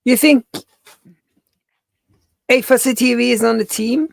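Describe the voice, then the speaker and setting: A woman's voice: fairly high pitch, measured pace, creaky, dry recording, clean studio sound. A woman chatting casually as a co-host on a live stream, reading out and reacting to comments. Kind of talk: casual chat.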